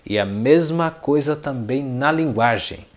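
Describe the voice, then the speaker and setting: A man's voice: medium pitch, animated, unhurried. A man from Paraguay with a Paraguayan accent, a non-native speaker giving an informal lesson to viewers in a didactic way, repeating words and posing questions. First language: Spanish and Guarani. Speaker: language teacher and video channel host.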